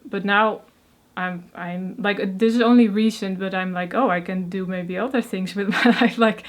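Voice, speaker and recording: A young woman with a Dutch accent speaks as an interview guest, stuttering and breaking off on first sounds. She is soft-spoken and uses many fillers. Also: mid-range voice, fairly even intonation, normal speed. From Netherlands. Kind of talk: casual interview conversation.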